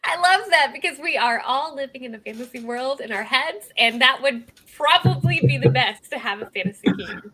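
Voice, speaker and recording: A woman's voice: high voice, expressive, fairly quick, few pauses, over a noisy crowd, rough recording. A woman hosting a casual interview, upbeat and giggly as she asks her questions. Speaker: host.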